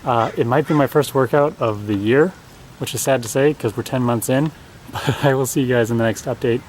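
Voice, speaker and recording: A man's voice: mid-range voice, fairly quick, a little hiss or hum, poor audio. A young man talking casually to the camera in a video diary. He is chatty and pokes fun at himself. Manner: chatty, self-deprecating, laughs at himself. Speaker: vlogger.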